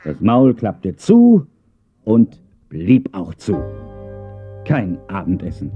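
A man's voice: lowish voice, wildly swooping pitch, takes it slowly. A man narrating a scripted children's story in a dramatic storytelling manner. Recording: dull cassette sound with music.

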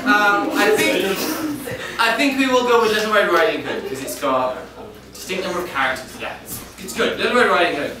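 A man's voice: high voice, lively intonation. Young man hosting improv in an echoey hall. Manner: chatty, quick to laugh. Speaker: improv show host. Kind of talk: live improv comedy hosting. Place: small theatre stage with audience. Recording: echoey hall, far mic, noisy.

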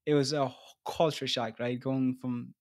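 A man's voice: medium pitch, level tone, normal speed, no room sound, studio quality. A young man from Ethiopia hosting a casual interview podcast, laid-back and easygoing with plenty of slang. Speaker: podcast host. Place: small room indoors. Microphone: studio mic on desk.

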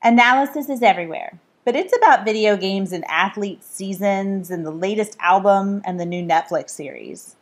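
A woman's voice: medium pitch, expressive, normal speed, few pauses. A woman who is an author, reading her own book aloud in a scripted style. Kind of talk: scripted read-aloud narration.

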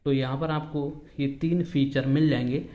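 A man's voice: a little high, fairly even intonation, moderate pace, muffled recording. A man hosting an instructional app walkthrough, explaining each step repetitively. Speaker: tutorial host.

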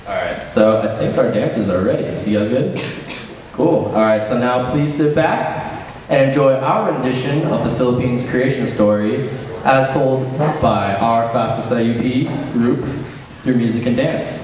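A young man emceeing a student cultural show on stage, chatty and relaxed with lots of fillers. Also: medium pitch, normal speed, a little hiss or hum. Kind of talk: casual stage hosting. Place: large event hall on a stage. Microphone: handheld mic heard through hall speakers. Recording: echoey hall from far off.

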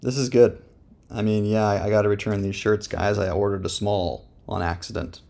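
A man with a gravelly voice, casually reviewing software while thinking aloud in a slangy, joking, laid-back way. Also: lowish voice, fairly even intonation, normal speed.

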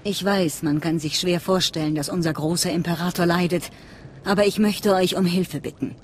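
An actress playing a secretive, fanatical cult leader in a game, speaking in a measured, earnest, conspiratorial way. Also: female voice, fairly low pitch, fairly even intonation, slight background noise, lightly acted. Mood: urging, conspiratorial. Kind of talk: dramatic game voice acting.

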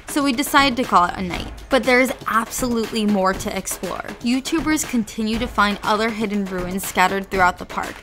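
A woman's voice: medium pitch, a bit bright. Woman narrating a documentary over music. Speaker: vlog narrator. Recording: with background music.

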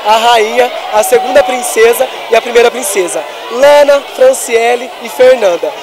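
A young man reports live from a packed festival hall, holding a handheld mic. He sounds upbeat and pushes his voice up over the noise. A loud crowd fills the background. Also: very high voice, normal speed. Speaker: event reporter. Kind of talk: on-the-spot interviews.

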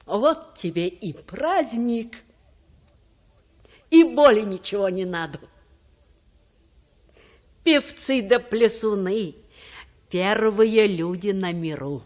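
Unhurried old village grandmother. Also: mid-range voice, expressive, darkish tone. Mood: fond reminiscing. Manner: folksy, unhurried storytelling. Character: old village grandmother, lively, fond of song and dance. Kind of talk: radio drama acting.

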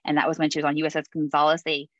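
A woman's voice: low voice, monotone, rapid-fire, fairly bright tone, no echo. A woman hosting a Navy mentoring session over a video call, chatty, with many fillers and easy laughs. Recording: dull dry video-call audio.

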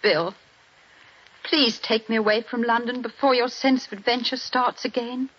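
An actress playing a frightened young wife in a 1940s radio drama, pleading and breathless. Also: female voice, fairly high pitch, steady tone, normal speed, fairly bright tone, husky. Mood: anxious. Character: frightened young wife telling detectives what she saw. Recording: dull 1940s radio broadcast.

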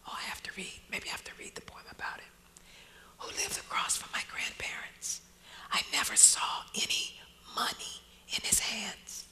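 Whispering, very bright-voiced woman poet. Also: normal speed, rough recording. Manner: hushed whispering delivery. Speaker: poet, guest speaker. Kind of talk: personal storytelling at a live talk.

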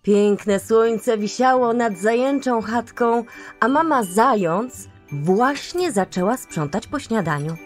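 A woman narrating a children's story in a calm, gentle tone. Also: fairly high pitch, slow pace, faint music behind.

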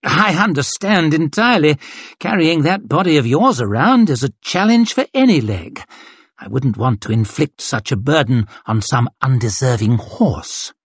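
Colonnello arrogante e beffardo, fatto da un uomo, voce un po' roca, acuta e teatrale. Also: male voice, fairly high pitch, lively intonation, normal speed, slightly rough, no room sound, voice pushed up. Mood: mocking. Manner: sneering, theatrical, cutting. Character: arrogant, mocking male cavalry colonel.

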